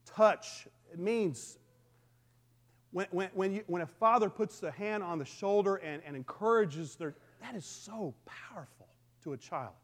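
A middle-aged man with a booming voice, preaching a conversational sermon to his congregation. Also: a little slow. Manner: lively, conversational preaching with call-and-response. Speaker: pastor.

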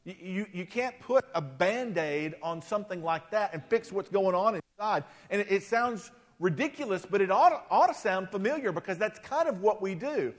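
A man's voice: sits high, animated, moderate pace, few pauses. A man in his forties preaching a sermon in a conversational, self-deprecating way, often checking in with the congregation. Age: 40s. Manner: conversational, self-deprecating, frequent "right?" asides. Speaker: pastor. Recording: long hall reverb.

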